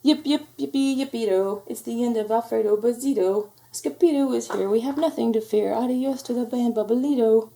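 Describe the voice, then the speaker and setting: A woman's voice: fairly high pitch, animated, fast talker, few pauses, warm tone, pitched above the performer's own voice, lightly acted. A woman voicing a bold, declamatory Chihuahua bandleader in a children's storybook, with a dramatic singsong delivery. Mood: triumphant. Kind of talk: children's storybook read-aloud.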